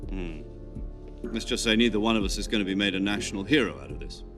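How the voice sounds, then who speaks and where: An actor playing a composed, authoritative special-forces commander in dramatic game dialogue, speaking in a measured, knowing way. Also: male voice, on the low side, a bit bright, soft background music, lightly acted. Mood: measured, confiding. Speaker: military commander.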